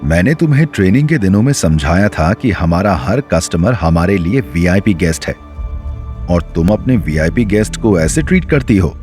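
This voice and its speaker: A man's voice: low-pitched, expressive, moderate pace, a little mellow, soft background music, voice pushed down. A man voicing a senior male store manager in an audio story, formal and authoritative, stern yet deferential.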